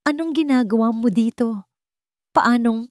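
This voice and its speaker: Audio story villain: desperate, vengeful man, loud and accusatory, read by a woman narrator. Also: fairly high pitch, a little slow, dry recording, clean studio sound, voice pushed up, natural voice. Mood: shocked. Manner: shouting, accusing.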